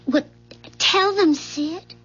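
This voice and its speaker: An actress playing an anxious, prodding wife in a 1970s radio drama, speaking deliberately and insistently. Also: female voice, very high-pitched, a bit bright, faint music behind, heavily acted. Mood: urging. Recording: muffled 1970s radio broadcast.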